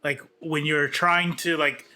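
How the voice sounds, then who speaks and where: A man's voice: a little high, steady tone, a little slow, bright, forceful tone. A man hosting a casual interview podcast in an informal, conversational style. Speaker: podcast host.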